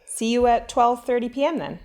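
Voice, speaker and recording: A woman playing a friendly caller in a casual, expressive phone chat for a language lesson. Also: fairly high pitch, a little fast, natural voice. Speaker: actor in lesson dialogues.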